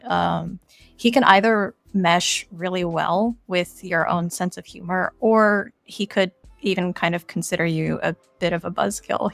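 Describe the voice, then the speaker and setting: Woman with a low, creaky voice. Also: dry recording. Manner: informal, explanatory, peppered with "like" and "kind of". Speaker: game creator being interviewed. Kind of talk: casual podcast interview talk.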